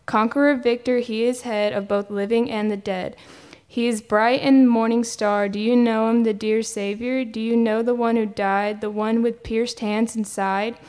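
A woman performs a devotional spoken-word poem, riding a rhythmic, rhyming cadence. Her voice sits a little high and comes through smooth and resonant. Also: fairly even intonation, normal speed, a little mellow.

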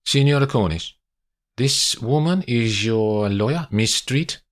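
A stern policeman in an audio mystery, performed by a man, formal and commanding. His pitch sits raised, and he gives clipped orders. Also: animated, slow. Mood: formal, questioning. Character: stern, formal Italian male police officer.

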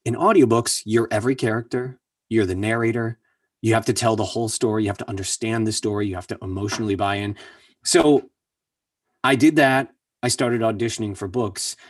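Husky low-voiced man telling his story. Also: a little fast, dry recording. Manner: earnest, energetic, repeats words for emphasis. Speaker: voice actor guest. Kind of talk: casual interview storytelling. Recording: distant mic, dry sound.

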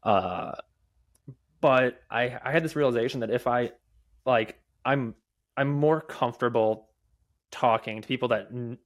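Halting man who stutters. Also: medium pitch. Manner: stutters, halting with many fillers and restarts. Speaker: podcast guest, app developer. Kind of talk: casual interview talk.

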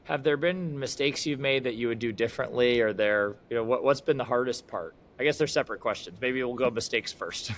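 A man's voice: mid-range voice, a little fast, a bit bright. Curious male podcast host. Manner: curious, asks long multi-part questions. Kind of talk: interviewing a guest.

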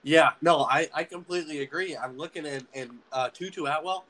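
A man's voice: fairly high pitch, fairly quick, fairly bright tone, poor audio. A young man hosting a student sports podcast, talking casually with many fillers and restarts. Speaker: podcast host.

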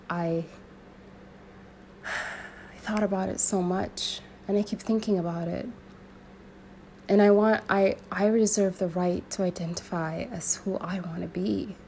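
A young woman from Sudan speaking in an earnest, searching way, pausing and restarting as she thinks aloud. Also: lowish voice, darkish tone, slight background noise. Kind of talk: personal reflective monologue.

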